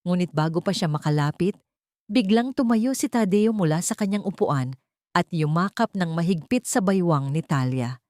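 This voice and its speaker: A woman reads a dramatic story as narrator. Her voice is low and mellow, and the recording is dry, with no room sound. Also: animated, normal speed, dry recording.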